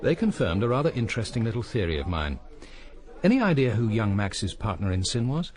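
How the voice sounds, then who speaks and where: An actor playing a shrewd, self-assured senior police detective in a radio drama, dry and deliberate. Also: male voice, fairly low pitch, sing-song, darkish tone. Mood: cool, satisfied.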